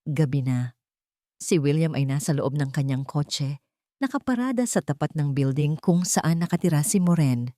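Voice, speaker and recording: A woman's voice: sits low, moderate pace, warm tone, no room sound, clean studio sound. A woman reading a dramatic love story as a scripted audiobook narration.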